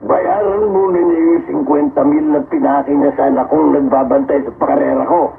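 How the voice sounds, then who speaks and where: An actor playing a sly, grasping older gambling boss in a radio drama, sneering and mocking. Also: male voice, a little high, fairly even intonation, fairly quick, creaky. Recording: tinny phone-like sound, loud hiss.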